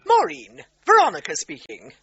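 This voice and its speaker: Pompous, swooping, imperious dog trainer. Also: female voice, high-pitched, wildly swooping pitch, normal speed, gravelly, fairly bright tone, lo-fi sound, slight background noise, heavily acted. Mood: stern, pressing. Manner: pompous, formal, relentlessly insistent. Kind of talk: radio comedy drama acting.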